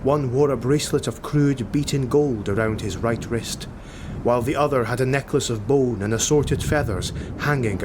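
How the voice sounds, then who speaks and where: A man's voice: medium pitch, background noise. A man narrating a fantasy audiobook in a scripted, dramatic style.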